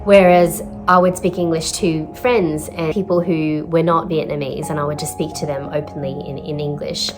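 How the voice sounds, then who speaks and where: Low-voiced woman, thoughtful and measured. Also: steady tone, poor audio. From Australia. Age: 30s. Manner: thoughtful, measured, with frequent pauses and fillers. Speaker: therapist. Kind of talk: reflective vlog voice-over. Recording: voice-over with clear music behind.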